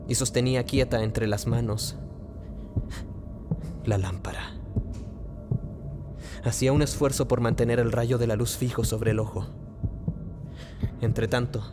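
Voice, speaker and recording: A man playing a nervous, obsessive madman confessing a murder in a theatrical, deliberate radio play monologue. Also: mid-range voice, lightly acted. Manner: theatrical, deliberate, halting phrasing. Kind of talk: dramatic radio play monologue, gothic horror narration.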